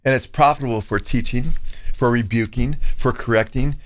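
Guy talking scripture, easygoing chat, pitch right in the middle, drops greek words in. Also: normal speed, poor audio. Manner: conversational, quotes scripture with Greek terms. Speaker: co-host, pastor. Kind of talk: casual discussion. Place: California.

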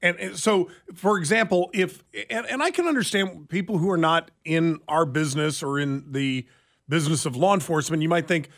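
A man's voice: fairly high pitch, a little fast, fairly bright tone. An American man hosting a radio talk show and taking calls, speaking into a close studio microphone.

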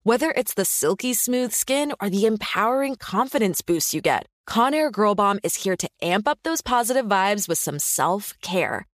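A woman's voice: mid-range voice, sing-song, moderate pace, hardly any pauses, no echo, studio quality. A woman presenting an ad with an upbeat, bubbly and encouraging read.

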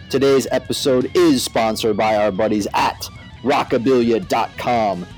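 A man's voice: medium pitch, a little mellow. A man hosting a podcast in casual, informal, slangy talk. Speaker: podcast host.

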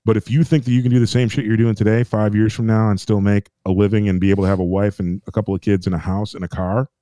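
A man's voice: on the low side, flat delivery, fast talker, warm tone, no echo. An American man co-hosting a podcast, ranting in casual chat, emphatic and repetitive, with restarts and swearing. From United States. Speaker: podcast co-host.

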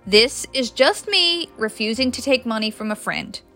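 Narrator lady as friendly no-nonsense young woman in a story, newfoundland accent, highish voice. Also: animated, moderate pace, bright, forceful tone, faint music behind. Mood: firm. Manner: emphatic, friendly. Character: warm, firm, good-humored young woman.

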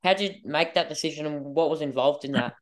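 A man's voice: fairly high pitch, fast. A man hosting an interview podcast, asking eager, upbeat questions. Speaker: podcast host.

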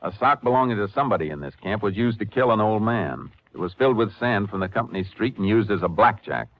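An actor playing a calm, authoritative Texas Ranger in an old-time western radio drama, measured and firm. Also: male voice, on the low side, close to an everyday voice. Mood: firm, questioning. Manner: measured, firm, matter-of-fact. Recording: muffled, noisy 1950s radio recording.

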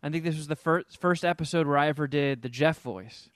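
A man's voice: on the high side, fairly quick, fairly bright tone, dry recording, clean studio sound. A man hosting a podcast, casually rambling through a story with swearing. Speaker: podcast host.

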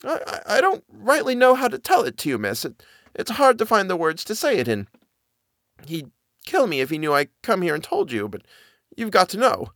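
A man's voice: high voice, wildly swooping pitch, normal speed, a bit bright, dry recording, clean studio sound, pitched above the performer's own voice, full character voice. A man voicing a frightened middle-aged housekeeper who stammers in plain servant speech. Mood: fearful.

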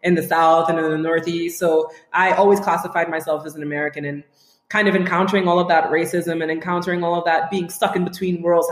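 Frank, animated woman in an echoey room. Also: lowish voice. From Trinidad and Tobago, raised in the US. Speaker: guest, writer. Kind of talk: casual podcast conversation. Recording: roomy echo.

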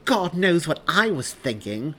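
A man's voice: on the high side, sing-song, unhurried, sharp tone, pitched above the performer's own voice, heavily acted. A man voicing an angry, scornful pregnant young woman with indignant, biting delivery in a dramatized audiobook. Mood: offended, disgusted.

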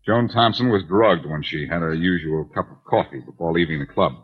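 An actor playing a smooth, cocky detective in 1940s radio style, with wry, taunting, self-assured delivery. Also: male voice, low voice, brisk. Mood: confident. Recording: dull, lo-fi 1940s radio recording.

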